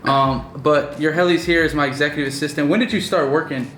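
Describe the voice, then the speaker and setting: A man's voice: fairly high pitch, fairly even intonation, a little fast, a bit bright, echoey room, a little hiss or hum. A man in his twenties hosting a casual business vlog, talking loosely with many fillers and restarts. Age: 20s. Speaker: vlog host and business owner. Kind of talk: casual chat. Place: indoors at night.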